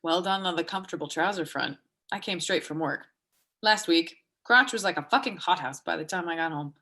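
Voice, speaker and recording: A woman in a dramatic reading, playing a sharp, foul-mouthed, vulnerable woman with a halting, blunt delivery. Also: on the low side. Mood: awkward, friendly. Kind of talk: dramatic reading of a stage play dialogue.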